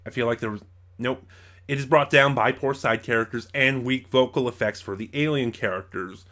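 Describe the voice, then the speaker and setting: A man's voice: medium pitch, moderate pace, a bit bright. Male review-channel host. Mid-range voice, informal and opinionated, wanders and corrects himself as he goes. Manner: rambling, self-correcting, opinionated. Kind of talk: informal spoken audio drama review.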